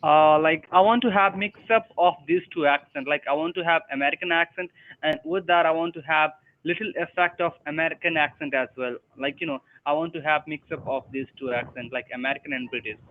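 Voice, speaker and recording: A man's voice: fairly high pitch, steady tone, brisk. A young man who is learning English, talking hesitantly with many fillers on an online tutoring call. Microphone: video call. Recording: tinny phone-like call audio.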